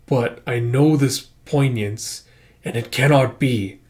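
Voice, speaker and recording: A man's voice: medium pitch, a little mellow, lightly acted. A man performs a solemn otherworldly warrior of a fantasy comic. He is proud and archaic in his speech. Every phrase comes out portentous, with dread beneath it. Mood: solemn, wary. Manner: slow, formal, portentous.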